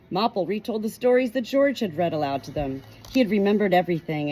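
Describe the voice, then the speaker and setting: A woman reading a novel chapter aloud in an expressive storytelling manner, giving short quoted lines a light change of voice. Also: mid-range voice, low-quality recording. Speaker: audiobook reader.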